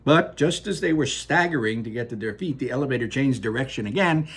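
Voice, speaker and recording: A man reading a children's book aloud in a lively, expressive way, adding asides of his own. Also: mid-range voice, a little fast, breathy edge. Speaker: reader.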